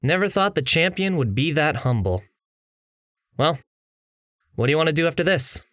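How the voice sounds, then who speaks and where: An actor playing an eager, playful young male gamer swordsman in an audiobook, casual and excitable. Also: a little high, normal speed, no room sound, studio quality.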